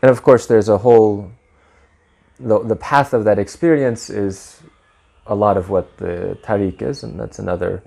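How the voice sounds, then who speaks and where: Low gravelly man explaining Sufi practice. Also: fairly even intonation, darkish tone. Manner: measured, explanatory, mixes in Arabic religious terms. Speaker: guest, Mevlevi Sufi practitioner. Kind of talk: reflective interview answers.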